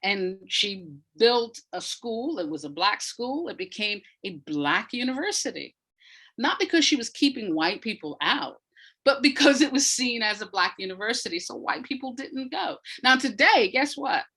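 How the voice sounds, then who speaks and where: An older woman speaking reflectively as an interview guest, in a measured, deliberate way with emphasis on key words. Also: fairly high pitch, animated, a bit bright, husky. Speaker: guest, playwright and retired academic.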